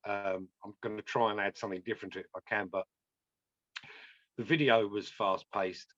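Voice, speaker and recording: A man's voice: on the low side, fairly even intonation, fairly quick, fairly bright tone, dry recording. A man giving an enthusiastic and encouraging review in a casual chat. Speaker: guest reviewer. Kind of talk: casual video call chat. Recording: dull lo-fi mic.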